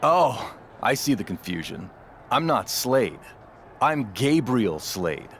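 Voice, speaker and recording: A veteran wrestler in a game cutscene, full of himself and very animated. He leans hard on phrases and stretches them out for drama, with a slight noise in the background. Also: mid-range voice, slow pace, heavily acted. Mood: cheerfully cocky. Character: boastful veteran male wrestler with a cheery, wide-eyed alter ego. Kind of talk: scripted video game cutscene acting.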